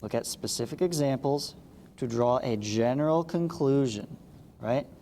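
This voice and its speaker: Male teacher for a read-along lesson: mellow, explanatory, breaks into informal asides, recorded with heavy reverb. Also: mid-range voice, a little slow. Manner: explanatory, checks understanding with short asides. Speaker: math teacher. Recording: long reverberant echo, clearly noisy background.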